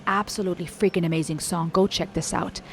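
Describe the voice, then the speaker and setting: A young woman with an American English accent speaks to her viewers in a lowish voice, reflective and earnest as she reacts to a song. A faint room hum sits beneath her. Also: steady tone, fast talker, gravelly. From Germany and the US, Cuban-American family. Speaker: music reaction YouTuber. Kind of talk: reaction commentary.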